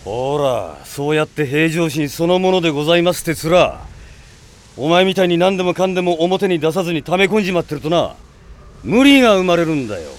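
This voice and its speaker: An actor playing a blunt, gruff male mercenary with a rough, confrontational way of talking in a radio drama. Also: on the high side, expressive, a little fast, close to an everyday voice.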